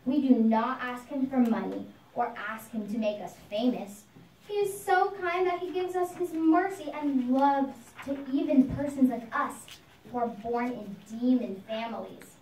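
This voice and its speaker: A woman's voice: high voice, measured pace, a little mellow, slight background noise. A woman voicing an earnest young boy devotee who preaches simply to his friends in a puppet show. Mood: earnest devotion. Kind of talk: puppet show storytelling, sermon-like. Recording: echoey room, distant microphone.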